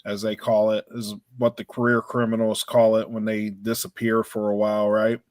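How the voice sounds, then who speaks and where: A man's voice: lowish voice, monotone, moderate pace, a little mellow, dry recording. A man co-hosting a podcast, telling stories in laid-back casual chat with slang. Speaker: podcast co-host.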